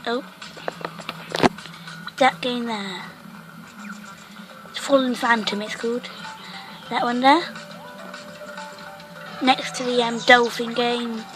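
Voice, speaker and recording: Female game host, croaky from a cough, rambling delivery, fairly high pitch, music underneath. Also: fairly even intonation, slow pace, long pauses, poor audio. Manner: rambling, lots of "like" fillers. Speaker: gaming channel host. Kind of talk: casual gameplay commentary.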